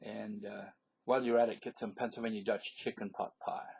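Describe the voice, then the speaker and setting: Hesitant male vlogger, low-pitched and husky, affected accent, chatty ramble. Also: level tone, a little fast, darkish tone, poor audio. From southern United States. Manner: hesitant, many fillers and restarts; talks in a put-on, not his own accent. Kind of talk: casual rambling monologue.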